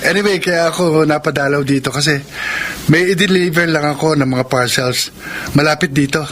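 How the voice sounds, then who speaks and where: A man's voice: fairly high pitch, moderate pace, a bit bright, lightly acted. A man playing a persistent young delivery-man suitor in a radio drama, breezy and coaxing in his talk. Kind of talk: Filipino radio drama acting. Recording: faint background noise.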